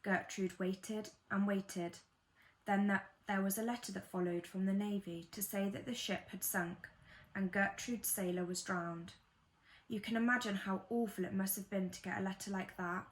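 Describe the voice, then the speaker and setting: Woman reading a kids bedtime story out loud, mid pitched voice, expressive and gentle, pauses for effect. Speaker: storybook reader.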